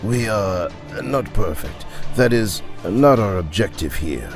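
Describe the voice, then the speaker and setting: Man voicing a solemn, deep-voiced ghostly knight. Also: sing-song, soft background music, exaggerated voice. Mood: courteous, composed. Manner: measured, courteous, formal. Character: tall, solemn half-ghost former scientist, knightly and reflective.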